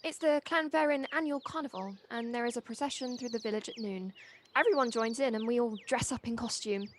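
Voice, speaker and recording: Woman acting an insistent, high-voiced village girl. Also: fairly quick, natural voice. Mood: cheerful. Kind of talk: audio play acting. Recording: amateur home recording with background noise.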